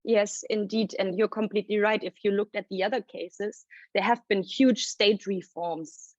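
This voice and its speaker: Woman, mid-pitched, researcher presenting, explanatory, pauses to think, dry lo-fi recording. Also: steady tone, darkish tone, no room sound. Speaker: report author presenting research. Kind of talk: panel presentation at a report launch. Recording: dry, dull lo-fi sound.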